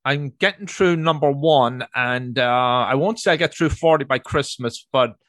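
A man with an Irish accent hosts an interview in a chatty manner and a middle pitch. He stretches out the words he wants to stress. The sound is dry and close. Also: moderate pace, fairly bright tone, no echo. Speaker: host. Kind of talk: interview hosting.

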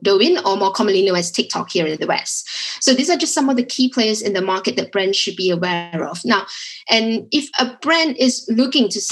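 A woman's voice: mid-range voice, poor audio. A woman with a Singaporean accent, speaking as an expert podcast guest in a conversational, explanatory style. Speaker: podcast guest, digital agency director.

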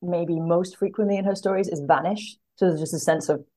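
Woman, deep register, conversational, reflective, earnest, searching for words, interview guest. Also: brisk, mellow. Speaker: podcast guest, literary translator.

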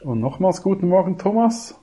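A man's voice: high voice, sing-song, normal speed, warm tone. A man hosting a podcast interview, asking loose, friendly questions in a casual way. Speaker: podcast host.

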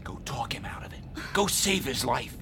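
Gruff, sardonic smuggler pilot, animated. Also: male voice, mid-range voice, normal speed, in a whisper. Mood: exasperated, bitter. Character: cynical, self-serving veteran smuggler pilot, male adult. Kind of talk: radio drama acting.

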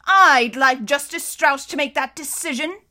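A flustered middle-aged banker from a storybook, played by a woman. He blusters indignantly in a high, forceful voice with heavy emphasis. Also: unhurried. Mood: insistent. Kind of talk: audiobook character voice.

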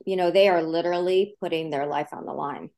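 A woman's voice: on the low side, steady tone, fast talker, mellow. A woman, a journalism professor, answering interview questions in an earnest, explanatory way and repeating points for emphasis.

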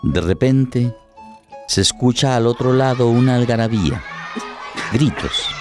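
A man delivering dramatic scripted narration for a radio play in measured, deliberate short phrases. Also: mid-range voice, animated, a little mellow, faint music behind.

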